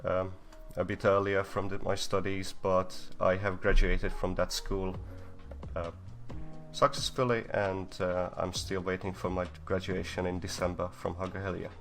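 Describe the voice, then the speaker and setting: A man's voice: sits low, little pitch movement, normal speed, faint music behind. A young man from Slovakia whose first language is Hungarian, casually introducing himself as a co-host on a live stream.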